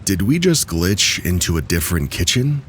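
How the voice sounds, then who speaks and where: Low-voiced man narrating eerie tales. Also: sing-song, normal speed, slight background noise. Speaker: narrator of submitted stories. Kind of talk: scripted narration of true spooky stories.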